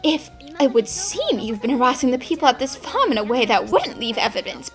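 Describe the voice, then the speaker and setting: A woman acts out a confident young female detective from London. The voice is high and swings theatrically, with a cheeky, challenging edge suited to a cartoon dub. Soft music plays underneath. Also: big pitch swings, fairly quick. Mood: smug, confident. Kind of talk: animated fan dub acting.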